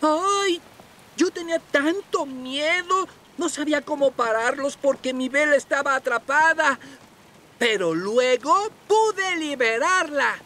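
A woman's voice: high-pitched, animated, slow, a bit bright, heavily acted. A woman voicing a small, timid but brave young railboat in a Latin American Spanish cartoon dub, anxious and exclamatory. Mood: shaken, relieved.

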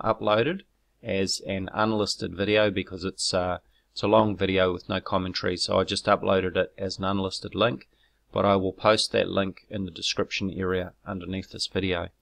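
A man's voice: sits low, flat delivery, gravelly, fairly bright tone. A man narrating over his own footage, explaining step by step with frequent ums and restarts, turning argumentative when rebutting critics. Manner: measured and explanatory, with frequent ums and self-corrections. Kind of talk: narrated screen walkthrough.

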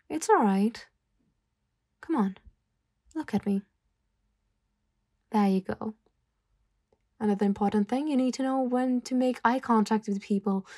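Woman as slow, mellow, soft-spoken female boss. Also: mid-range voice, no room sound, clean studio sound. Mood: gently coaxing. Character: calm, worldly female mafia boss gently advising an employee. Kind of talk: ASMR roleplay monologue.

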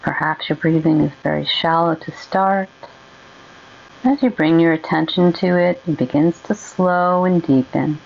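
A woman leading a guided meditation in a gentle, soothing, unhurried way with long pauses. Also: low-pitched, steady tone, vocal fry, highs cut off, a little hiss or hum.

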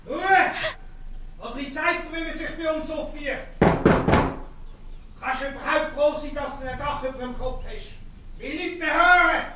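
A man with a Swiss German accent playing a gruff, blustering prison warden in a radio play, barking and scolding. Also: very high voice, measured pace, long pauses, background noise. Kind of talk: radio play acting in dialect. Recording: echoey, reverberant space.

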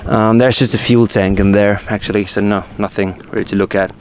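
A man's voice: on the low side, fairly even intonation, brisk. A man presenting an informal walkthrough of his boat as its owner, explaining things casually and correcting himself as he goes. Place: outdoors on an open motorboat on the water. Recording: outdoor ambient noise on boat.

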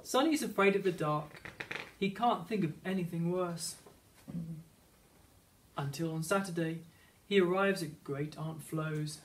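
A man's voice: a little high, a little slow, pauses often. A man narrating a children's picture book, read aloud as a teacher would. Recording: slightly distant microphone.

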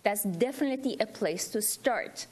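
Woman, from Iran, mid-pitched voice, earnest, emphatic, forum audience speaker, repetition for stress. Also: expressive, normal speed, husky. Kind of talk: impromptu public remarks to a panel.

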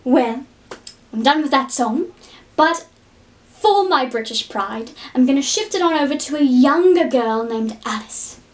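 High-voiced woman hosting a casual video. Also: expressive, measured pace. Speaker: video host doing impressions. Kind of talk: casual chat to viewers.

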